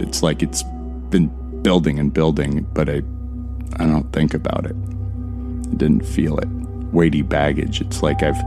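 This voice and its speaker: A bitter, haunted narrator in a horror audio drama. His voice is extremely low and stays fairly flat, brooding over every detail with terse, profane tension. Music plays clearly behind him. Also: heavily acted. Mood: seething. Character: tense, bitter young man narrating his horror ordeal.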